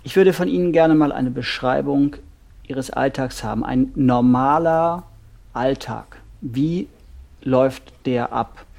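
A man playing a blunt, methodical couples therapist in an audio drama, asking terse questions in a gravelly, husky voice. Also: mid-range voice, takes it slowly, a little mellow. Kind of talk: naturalistic improvised-sounding audio drama dialogue.

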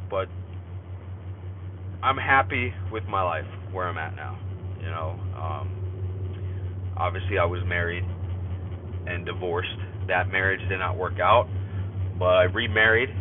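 A man's voice: low-pitched, takes it slowly, pauses often. Stuffy man rambling while driving. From California. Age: 30s. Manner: rambling, many fillers and restarts. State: stuffy from a cold. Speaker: podcast host. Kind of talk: casual spoken journal. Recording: phone-like line, faint car noise. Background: car road noise.

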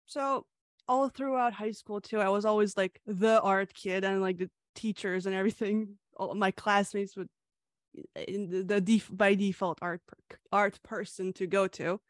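A woman's voice: medium pitch, fairly even intonation, moderate pace, no room sound, studio quality. A young woman from Hungary, a comic creator answering interview questions in a chatty way with frequent fillers and laughs.

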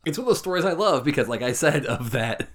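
A man's voice: a little high, very animated, quick pace, a bit bright. A man co-hosting a podcast, chatting and commenting casually, thinking aloud as he goes. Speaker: podcast co-host.

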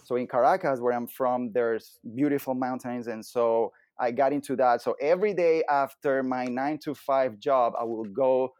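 A man's voice: medium pitch, moderate pace, few pauses, smooth, no room sound. Venezuelan guest in his thirties, loose storyteller, stumbles and repeats, dry mic. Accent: Venezuelan Spanish. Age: 30s. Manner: rambling, repeats words, warm laughter. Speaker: guest, personal trainer. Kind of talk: casual interview chat.

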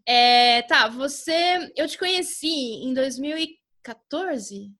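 High-voiced Brazilian woman hosting a podcast. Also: expressive, slow, bright, forceful tone. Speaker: podcast host. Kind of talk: casual chat interview.

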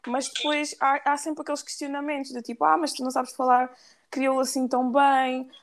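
Higher-voiced woman, European Portuguese accent. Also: level tone, a little fast. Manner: slangy, mixes in English words. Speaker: podcast guest. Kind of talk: casual conversation.

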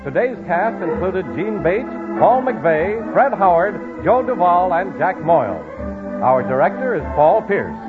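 A man's voice: high voice, sing-song, unhurried. An American man announcing on a 1950s radio show with an emphatic sales delivery. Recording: tinny old radio recording. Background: music.

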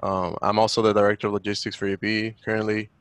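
A man's voice: fairly low pitch, level tone, rapid-fire, dry recording. A young man from the Dominican Republic, a student guest talking casually with lots of fillers. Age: young adult. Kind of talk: online panel Q&A.

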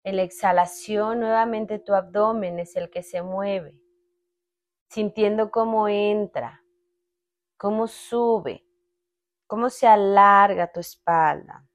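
A woman narrating a guided meditation in a calm, instructional manner with long pauses between short phrases. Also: medium pitch. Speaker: meditation guide, podcast host.

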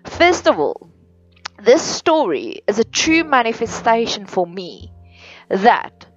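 Slow, deliberate South African woman podcaster. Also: on the high side, wildly swooping pitch, no room sound. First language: Afrikaans. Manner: deliberate, careful delivery with occasional restarts. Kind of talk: solo podcast monologue. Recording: dull lo-fi sound with faint music.

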